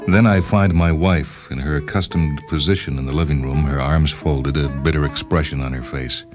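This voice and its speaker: A man's voice: a bass voice, steady tone, moderate pace, over music. A man reading as a disgruntled, self-justifying inventor, wry and fussily verbose, in a first-person short story. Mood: wry, matter-of-fact.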